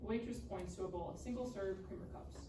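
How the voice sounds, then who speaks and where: A woman's voice: medium pitch, fast talker. A woman reading out scene headings and stage directions as narrator at a live comedy table read. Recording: echoey room, distant mic, clear noise.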